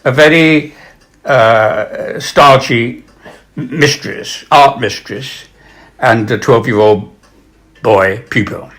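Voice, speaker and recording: A man presenting and reading literature aloud, with a measured, dramatic delivery when reciting. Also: medium pitch, fairly bright tone. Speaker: reader and presenter of poems and prose. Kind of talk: literary recital with spoken introductions.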